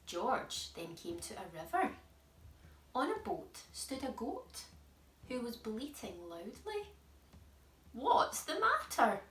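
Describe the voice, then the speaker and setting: Slow, animated woman reading a children's story. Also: a little high, a little hiss or hum. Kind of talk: animated picture-book narration for young children. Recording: echoey room, distant mic.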